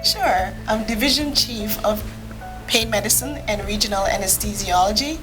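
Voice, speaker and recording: A woman from Jamaica, a physician appearing as a podcast guest, telling her personal story in a measured, matter-of-fact way. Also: mid-range voice, music in the background, rough recording.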